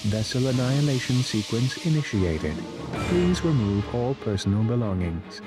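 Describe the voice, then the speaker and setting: An actor voicing a calm automated station computer that makes polite recorded announcements in a game. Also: male voice, medium pitch, normal speed, close to an everyday voice. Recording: game audio under loud music.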